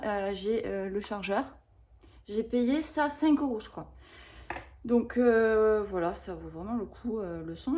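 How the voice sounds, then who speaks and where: Woman, 30s, high-pitched, chatty haul vlogger who rambles through asides. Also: a little mellow. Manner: chatty and rambling, with frequent fillers and self-corrections. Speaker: vlogger reviewing a shopping haul. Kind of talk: casual haul chat to camera.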